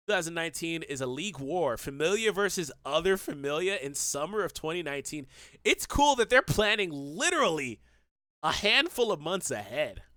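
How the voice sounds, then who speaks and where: A man hosting a gaming channel, chatting about game news with excitable, rambling energy, fillers and restarts, his voice a little stuffy from a cold. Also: a little high, no room sound, clean studio sound. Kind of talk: casual gaming news commentary.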